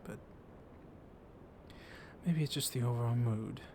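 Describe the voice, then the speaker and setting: A man playing a seductive, softly dominant vampire librarian in an ASMR roleplay, speaking in a hushed, flirtatious way with lingering pauses. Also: mid-range voice, slight background noise, lightly acted. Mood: flirtatious, confiding. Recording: loud rainstorm ambience with thunder. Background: rain and thunder ambience.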